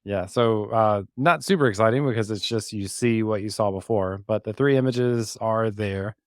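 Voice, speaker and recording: A man's voice: fairly low pitch, normal speed, dry recording, clean studio sound. A man giving a casual, informal technical walkthrough in an explanatory way. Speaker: podcast co-host and developer demonstrating a project.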